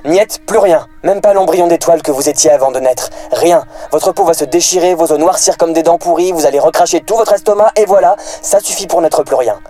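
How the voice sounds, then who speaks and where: A man's voice: on the high side, brisk, few pauses, warm tone, faint music behind, natural voice. A man playing an uneasy, foreboding masked air inspector in an audio drama, speaking hesitantly. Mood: menacing.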